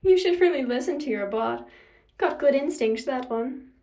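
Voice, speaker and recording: A woman's voice: high-pitched, lively intonation, dark tone. A woman voicing a smug female master thief who purrs and draws out her teasing in a story. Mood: smug, purring. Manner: purring, drawn-out mockery. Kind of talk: acted audio fiction narration.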